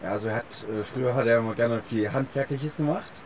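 A man's voice: mid-range voice, quick pace. A young man talking casually and colloquially as an interview guest, outdoors. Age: young adult. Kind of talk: casual reminiscing chat. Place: outdoors on a park bench by a forest. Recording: faint outdoor background noise.

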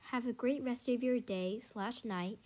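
A young woman with a congested, stuffy voice, casually commenting on her gameplay, chatty and excitable with many fillers. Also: a little high, measured pace. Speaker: gaming channel host. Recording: faint music behind. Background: faint game music.

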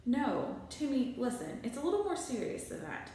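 A kindly, patient man in a children's story voiced by a woman. He reasons calmly and gently. The room around him echoes strongly. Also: high voice, moderate pace. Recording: very echoey small bare room.

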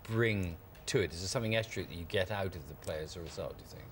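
A man's voice: low voice, fast talker, a little hiss or hum. A man in his forties presenting breakfast TV in a studio, introducing guests and asking questions. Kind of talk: news presenting and interviewing.

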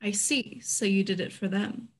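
A woman's voice: mid-range voice, moderate pace, close to an everyday voice. A woman in a play reading, voicing a candid, proud businesswoman of an Old West town. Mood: guarded, proud. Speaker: actor in an online staged reading. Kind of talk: scripted stage play reading. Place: indoors at home, on a group video call.

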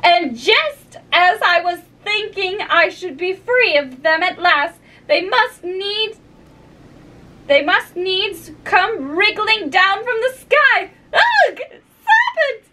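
A woman's voice: very high voice, expressive, slow pace, pushed and bright, recorded from a distance, pitched above the performer's own voice, exaggerated voice. A woman voicing an exasperated, long-suffering mother pigeon in a storybook, complaining and scornful. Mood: aggrieved complaint. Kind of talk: storybook character voice.

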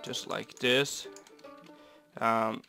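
Young man, raspy cold voice, from Norway, rambling game commentary, soft guitar music behind. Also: medium pitch, steady tone, drawn-out words. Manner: rambling, easygoing, many fillers. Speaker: Minecraft builder. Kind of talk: casual gameplay commentary.

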